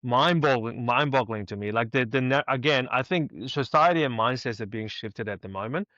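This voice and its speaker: A man's voice: medium pitch, normal speed, dry recording. A man from Hong Kong co-hosting a podcast, speaking emphatically and often checking in with "right?". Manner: animated, emphatic, lots of "right?". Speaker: podcast co-host. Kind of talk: casual podcast conversation. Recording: slightly dull audio.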